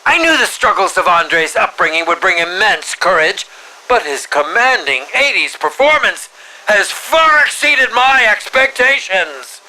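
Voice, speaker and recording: An actor voicing a melodramatic, sinister film director villain in a video game, drawing out his lines and dropping into breathy whispers. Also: high-pitched, sing-song, sharp tone, a little hiss or hum, full character voice, low-quality recording. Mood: gloating menace. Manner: melodramatic, drawn-out delivery with breathy whispered drops. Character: theatrical, sinister mad male film director villain, grieving and menacing. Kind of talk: scripted game villain monologue.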